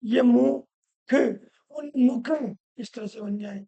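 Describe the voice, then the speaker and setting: Hoarse, gravelly woman teaching grammar. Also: fairly high pitch, takes it slowly, dry recording, highs cut off. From Rajasthan. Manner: asks questions and answers them herself, repeats rules loudly. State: hoarse from a cold. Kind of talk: classroom-style lesson.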